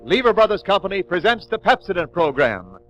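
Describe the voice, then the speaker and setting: Brief: high, lively-voiced male announcer, showy 1940s sponsor intro with faint music. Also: brisk. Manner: booming, showy. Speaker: radio announcer. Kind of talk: 1940s radio advert announcing. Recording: dull 1940s radio broadcast with music.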